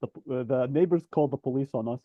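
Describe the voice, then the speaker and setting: A man co-hosting a podcast, telling animated stories in casual chat and acting out what people said. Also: mid-range voice, rapid-fire, dry recording, phone-quality sound. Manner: animated anecdotes with acted-out quotes. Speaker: podcast co-host.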